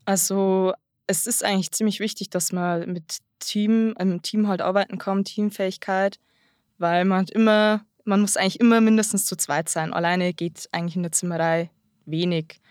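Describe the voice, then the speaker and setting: A woman's voice: medium pitch, fairly even intonation, normal speed, darkish tone, dry recording, studio quality. A nineteen-year-old woman answering interview questions about her trade in a casual, hesitant way, with frequent fillers and restarts. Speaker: guest, apprentice-trained carpenter.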